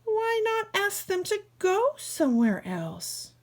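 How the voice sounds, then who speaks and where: A woman's voice: very high-pitched, huge pitch swings, takes it slowly, voice pushed up, heavily acted. A woman voicing a cheerful, helpful little female pig in a children's storybook, in a matter-of-fact singsong. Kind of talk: children's storybook read-aloud.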